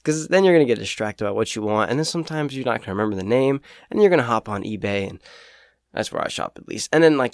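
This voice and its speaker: A teenage guy, raspy and a little stuffed up from a cold, chatting loosely through his own money tips with plenty of fillers. His pitch sits in an ordinary middle range, and the sound is close and dry, with no room echo. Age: teens. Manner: rambling, lots of fillers, upbeat. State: sick with a cold. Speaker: podcast host. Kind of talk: casual solo talk about saving money.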